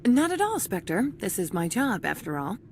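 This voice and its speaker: A woman's voice: medium pitch, very animated, moderate pace, slight background noise. A woman playing a polite, knowing corporate employee in a video game, composed and discreet in manner. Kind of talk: video game dialogue acting.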